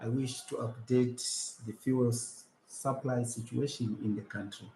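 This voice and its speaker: A man's voice: mid-range voice, steady tone, a little slow, warm tone. A man reading out a formal statement as a government official. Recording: echoey room with faint background noise.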